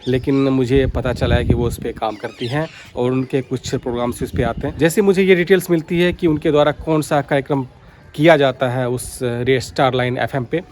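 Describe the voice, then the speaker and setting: A man's voice: mid-range voice, steady tone, a little fast. A man giving informal, explanatory vlog commentary, going back over his points as he speaks. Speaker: radio hobby vlogger. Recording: clearly noisy background.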